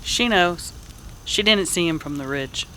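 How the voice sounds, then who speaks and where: A woman playing a calm, protective frontier woman with a hesitant, sympathetic manner in a Western radio drama. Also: lowish voice, measured pace, a little hiss or hum, rough recording. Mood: guarded concern.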